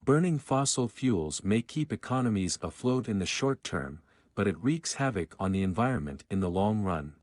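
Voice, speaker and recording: A man's voice: lowish voice, lively intonation, normal speed, a little mellow, dry recording. A man reading scripted exam practice passages aloud.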